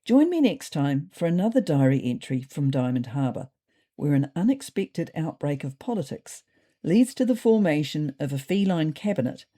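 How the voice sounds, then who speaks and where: A woman's voice: deep voice, very animated, normal speed, mellow. A woman reading scripted comic narration for a story podcast.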